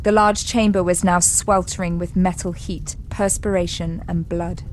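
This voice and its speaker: A woman's voice: lowish voice, steady tone, normal speed, darkish tone, a little hiss or hum, low-quality recording. A woman narrating a sci-fi action audio drama in a scripted, dramatic storytelling style.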